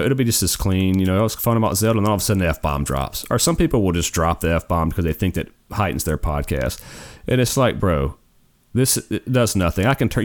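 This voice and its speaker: A forty-year-old man co-hosting a gaming podcast in a rambling, easygoing casual chat, often tossing in "man". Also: low voice. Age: 40s. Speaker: podcast co-host.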